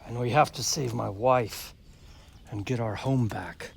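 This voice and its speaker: An actor playing a proud, desperate medieval lord in a video game, gravelly and forceful in delivery. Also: male voice, medium pitch, unhurried. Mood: resolute, urgent. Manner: forceful, impassioned. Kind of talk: dramatic video game acting.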